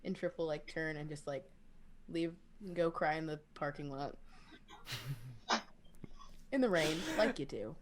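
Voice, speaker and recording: Low-pitched woman playing a tabletop game. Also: animated, a little slow, pauses often. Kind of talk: casual in-character roleplay and narration. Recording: faint background noise.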